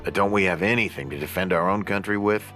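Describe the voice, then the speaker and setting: A stern US President speaks in a deep, hard-edged voice. He is grave and demanding, a video game leader with faint music behind him. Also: fast, pushed and bright. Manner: stern, incredulous, authoritative. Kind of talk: video game cutscene acting.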